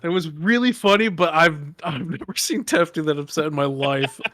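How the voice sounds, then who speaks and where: A man with a fairly soft voice, a podcast guest telling a story in casual chat and often restarting his phrases. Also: fairly high pitch, normal speed.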